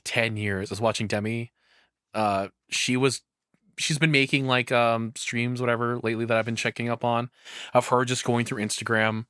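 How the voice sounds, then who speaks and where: A man's voice: mid-range voice, bright, forceful tone, dry recording, clean studio sound. A man giving casual reaction commentary, blunt and jaded, with some swearing. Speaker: reaction streamer.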